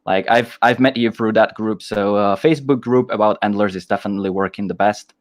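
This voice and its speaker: A man's voice: fairly low pitch, fairly even intonation, a little fast. A man hosting a casual livestream, enthusiastic and restarting his sentences often. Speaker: host.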